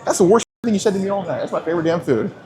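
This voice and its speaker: A man calmly questioning someone and then explaining the situation to police, in halting speech full of restarts, indoors at a casino. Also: high-pitched. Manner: calm, halting, with many restarts. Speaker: sting confrontation host. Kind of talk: confrontational questioning.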